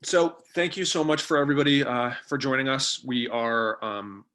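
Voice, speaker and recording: Bright-voiced man presenting a webinar. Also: medium pitch, moderate pace. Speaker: webinar presenter, company co-founder. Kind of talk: informal online presentation. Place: remote webinar.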